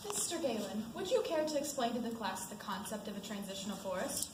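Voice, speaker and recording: A woman's voice: medium pitch, expressive, brisk, lightly acted. A woman acting as a sarcastic, exacting college teacher giving a pointed lecture in an amateur stage play. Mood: sarcastic, lecturing. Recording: echoey stage with clear background noise.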